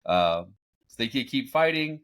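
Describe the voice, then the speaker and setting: A man hosting a podcast, recapping in a casual, halting, conversational way. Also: medium pitch, very animated, a bit bright. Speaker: podcast host. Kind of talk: casual recap commentary on a cartoon. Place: home room, on a group video call.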